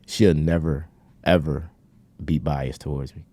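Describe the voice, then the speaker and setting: A man in his thirties with an extremely deep, mellow voice holds forth in casual podcast chat. He talks in long, earnest, winding runs. The sound is dry and close to the mic. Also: steady tone, measured pace, no room sound. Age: 30s. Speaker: podcast co-host.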